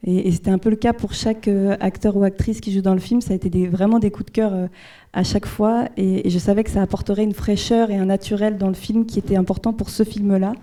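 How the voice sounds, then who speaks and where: Woman from the Jura, film director at a Q&A; dark, flat, hesitant and thoughtful. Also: medium pitch, fast talker. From Jura, France. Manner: hesitant, many fillers and restarts, thoughtful. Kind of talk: answering audience questions.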